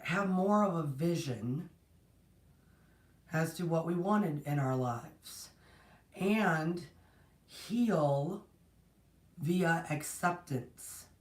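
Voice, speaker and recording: A woman's voice: low voice, lively intonation, very slow, long pauses. A woman hosting a spiritual livestream, talking casually with a strained, partly lost voice, greeting viewers between rambling thoughts. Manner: rambling, warm greetings to viewers, many restarts. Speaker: host of a spiritual platform. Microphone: distant mic, no proper setup. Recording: echoey room, voice from far off.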